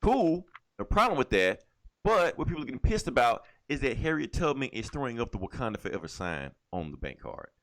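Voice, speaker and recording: Animated man co-hosting a podcast, swinging pitch. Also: fairly quick. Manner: profane, opinionated, animated rants. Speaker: podcast co-host. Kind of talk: casual comic book and video game chat.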